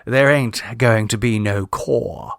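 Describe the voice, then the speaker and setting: A man voicing a witty young gentleman in a storybook, lazy, droll and unconcerned. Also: medium pitch, takes it slowly, vocal fry, no echo, clean studio sound. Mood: mock-serious.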